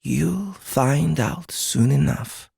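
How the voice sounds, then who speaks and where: A cryptic female psychic for an audiobook drama. She speaks slowly in a creaky, fry-laden voice, ominous and drily sardonic. The recording is close and free of room sound. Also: extremely low pitch, lively intonation, warm tone, dry recording, heavily acted. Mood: dry, sardonic. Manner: slow, portentous, dry.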